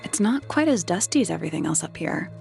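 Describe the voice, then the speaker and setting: A woman playing a blunt, observant detective's sidekick with deadpan, laconic delivery in a mystery adventure game. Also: lowish voice, fast talker, creaky, soft background music, full character voice. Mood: blunt, unimpressed. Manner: deadpan, laconic, matter-of-fact.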